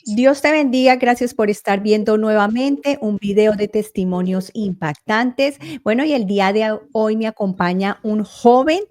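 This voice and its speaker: A woman's voice: medium pitch, a little slow, few pauses, a little mellow, a little hiss or hum. A woman hosting a testimony program, greeting her guest with formal blessings. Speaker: host. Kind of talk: church testimony show hosting.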